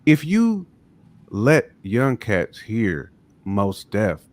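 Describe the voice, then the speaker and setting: American guy on a podcast, lowish voice, chatting casually, really drives his points home. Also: sing-song, drawn-out words. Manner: emphatic, repeats his points. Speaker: music podcast panelist. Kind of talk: casual chat, opinionated discussion.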